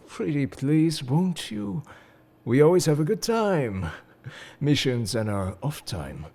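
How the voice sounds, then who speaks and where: A man playing a suave, enigmatic, quietly world-weary secret agent in an intimate, flirtatious audio roleplay, speaking to the listener in a coaxing, theatrical way. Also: mid-range voice, exaggerated voice. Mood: playful, coaxing. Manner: smooth-talking, coaxing, theatrical. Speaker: voice actor in fan audio roleplay.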